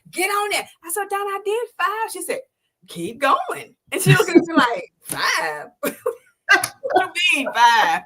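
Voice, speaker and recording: A woman in her sixties hosting an interview, telling a personal story with feeling, acting out quotes in bursts and dropping soft when moved. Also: a little hiss or hum. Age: 60s. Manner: animated storytelling, acts out quotes with sharp jumps in pitch; drops to a soft, low voice when emotional. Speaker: podcast host. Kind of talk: emotional interview testimony.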